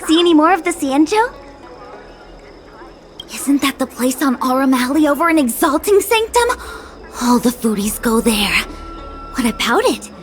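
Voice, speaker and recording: A woman's voice: high-pitched, big pitch swings, normal speed, long pauses. A young woman voicing a perky, chatty, slightly lazy young character in a video game, with a breezy colloquial delivery. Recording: studio voice over faint game music. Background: soft game music.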